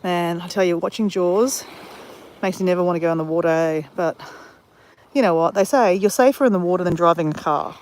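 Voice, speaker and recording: A woman's voice: on the low side, brisk, a little mellow, slight background noise. An Australian woman chatting casually while walking on a beach, telling a rambling story full of self-corrections. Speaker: vlogger. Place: outdoors on a beach.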